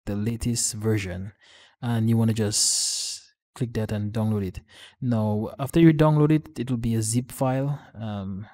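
Male tutorial host, low mellow voice, informal and halting step-by-step walkthrough. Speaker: gaming channel tutorial host. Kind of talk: casual screen-recorded software tutorial.

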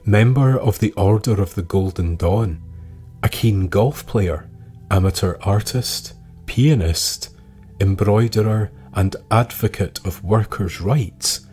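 A man narrating a scripted history podcast in a deliberate storytelling way, with dramatic pauses. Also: fairly low pitch, a little mellow, slightly rough, soft background music.

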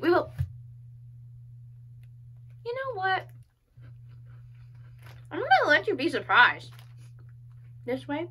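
A woman performing a dark comedic monologue as a playful, sadistic female killer taunting her captive, whispering menace between loud bursts. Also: high-pitched, a little hiss or hum, exaggerated voice, rough recording.

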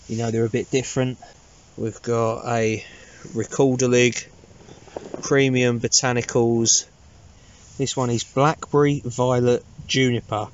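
A man in his thirties with an English accent, chatting casually to camera in a low-key, rambling, informal way as an outdoor vlogger. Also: highs cut off. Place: inside a small tarp shelter outdoors at night.

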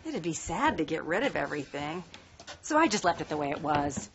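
Middle-aged cartoon mother, chatty and welcoming, lowish, animated pitch. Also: fairly quick. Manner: chatty, casual. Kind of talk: animated film dubbing. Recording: dull lo-fi sound, clear noise.

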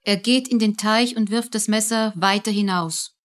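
Woman reading narration for a radio play, scripted, average pitch. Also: normal speed.

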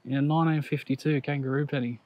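Excitable Australian man detecting outdoors. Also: mid-range voice, quick pace, dull audio. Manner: excitable, laughs at his own finds, chatty asides to viewers. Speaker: metal detecting vlogger. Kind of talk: casual commentary while detecting. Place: outdoors on a grassy park lawn. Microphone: action camera on the body or set on the ground.

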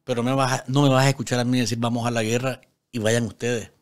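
A man's voice: mid-range voice, level tone, fast. A man in his forties with a Nicaraguan accent, giving live political commentary as a host, emphatic, repeating phrases and asking rhetorical questions. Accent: Nicaraguan Spanish. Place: small indoor studio at a desk. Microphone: desk studio microphone.

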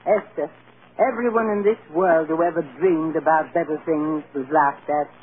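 A woman's voice: deep voice, fairly even intonation, normal speed, lightly acted. An actress playing a tough, loving elderly grandmother in an old radio drama, emphatic and folksy. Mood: scolding, stirring. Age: older. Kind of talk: 1930s-40s American radio acting. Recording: muffled, noisy old radio broadcast.

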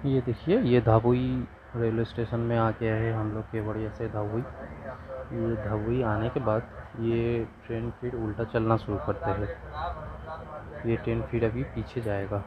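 A man's voice: medium pitch, little pitch movement, unhurried, highs cut off, a crowd in the background. A young man, chatting eagerly as he films a rainy train trip on his phone. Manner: chatty, eager, shows viewers each sight. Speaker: travel vlogger. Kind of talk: casual travel vlog narration. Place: railway platform and moving train, with rain. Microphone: phone held at arm's length. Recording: loud train and rain noise.

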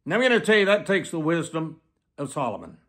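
An elderly man preaching to the camera in an emphatic, deliberate manner, often repeating phrases for weight. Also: on the high side, sing-song, fairly bright tone. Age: 70s. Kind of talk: sermon to camera. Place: small plain room indoors.

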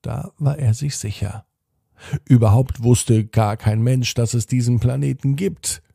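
A man narrating a bedtime story in a slow, soothing way, speaking directly to the child listening. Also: medium pitch, fairly even intonation, gravelly, warm tone, slightly rough, dry recording, clean studio sound.

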